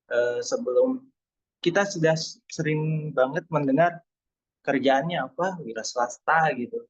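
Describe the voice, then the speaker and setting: A man's voice: medium pitch, breathy edge, dry recording, lo-fi sound. A man from Indonesia, speaking casually on a webinar with many hesitations and fillers.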